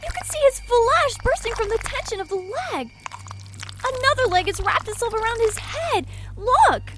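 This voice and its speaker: A woman playing a frightened, excitable nun in an audio drama, with a breathless, halting, alarmed delivery. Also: extremely high pitch, heavy background noise. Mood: alarmed, appalled.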